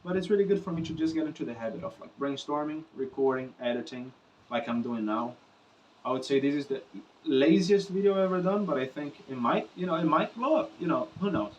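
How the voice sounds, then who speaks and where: A man's voice: on the high side, expressive, a little fast, far from the mic, highs cut off, slight background noise. A young Brazilian man, Portuguese his first language, speaking English unscripted to the camera in a loose, rambling way with filler phrases and swearing. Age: young adult. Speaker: musician vlogger.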